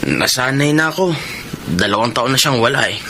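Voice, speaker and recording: A Filipino actor playing a polite, good-humoured, flattering married son in a radio drama. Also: male voice, mid-range voice, a little fast, slight background noise. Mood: matter-of-fact, joking. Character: genial, flattering married grown son of sick man.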